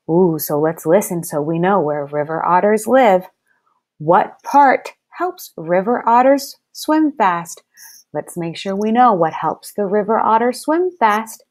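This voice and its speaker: A woman teacher reading a lesson aloud to young children in a deliberate, instructive way, enunciating each word. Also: medium pitch, darkish tone. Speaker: first-grade teacher.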